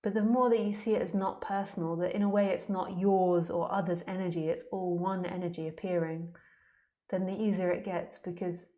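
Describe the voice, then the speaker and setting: A woman with a fairly low voice gives an informal spiritual talk, answering questions as a teacher. She speaks candidly and plainly, in a conversational way that feels unscripted. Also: fairly even intonation, brisk, warm tone.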